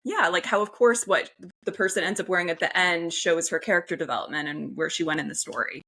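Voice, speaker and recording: A woman co-hosts a casual interview, chatty and full of "like" and quick agreements. Her voice sits a little low and sounds bright and forward. She talks as a writer reflecting on what she hears. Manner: chatty, frequent "like" and short affirmations. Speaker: podcast co-host, writer.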